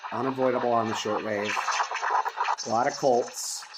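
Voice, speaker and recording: A man's voice: medium pitch, steady tone, normal speed. American man reviewing gadgets over radio static. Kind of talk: casual unscripted product review. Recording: clear radio static and hiss behind. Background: shortwave radio static and stations.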